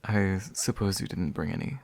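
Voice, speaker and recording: A man playing a courteous, gentle demon in a soft ASMR roleplay, politely formal and a little hesitant. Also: low-pitched, normal speed, no echo, lightly acted. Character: courteous, gentle male demon offering comfort to his summoner. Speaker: ASMR voice actor.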